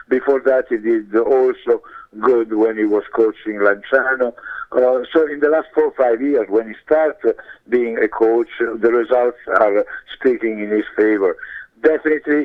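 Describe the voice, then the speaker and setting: A man with an Italian accent and a hoarse voice, giving soccer commentary as a guest in a halting, repetitive way. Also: mid-range voice. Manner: halting, repeats words. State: hoarse, losing his voice. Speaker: guest soccer pundit and radio show host. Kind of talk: sports interview commentary. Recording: muffled narrow-band phone line.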